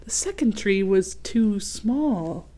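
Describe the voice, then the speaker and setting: A woman reading a storybook aloud for young children, expressive, with chatty explanatory asides. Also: medium pitch, slow. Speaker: children's read-aloud host.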